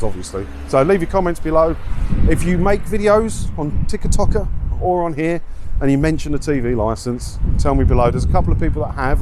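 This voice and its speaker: Middle-aged man, casual outdoor chat. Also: a little high. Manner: informal, blunt, conversational. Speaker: video creator and host. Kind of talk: casual walking vlog, rambling chat to camera. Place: outdoors, walking around a seaside town. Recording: outdoor ambience with traffic and faint noise.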